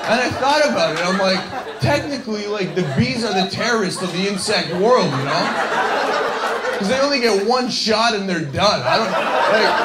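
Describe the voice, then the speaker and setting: A man's voice: high-pitched, very noisy. Young man rambling through a stand-up set. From Scarborough, Canada. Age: young adult. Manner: rambling, self-deprecating, lots of fillers. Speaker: stand-up comedian. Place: comedy club stage. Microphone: handheld mic. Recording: echoey club with loud laughing crowd. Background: audience laughter.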